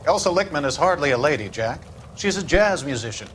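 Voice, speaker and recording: Smug American company boss, lively pitch. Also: male voice, fairly quick, slight background noise. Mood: breezy, smug. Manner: condescending, breezily familiar. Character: smug, domineering male company boss, slick and condescending. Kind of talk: naturalistic crime game acting.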